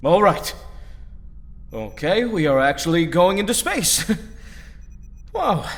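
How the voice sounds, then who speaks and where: An actor playing an earnest, excitable young superhero in an audio drama, with a casual, boyish manner. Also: male voice, sits high, slow, exaggerated voice. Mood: excited. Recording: slightly distant microphone.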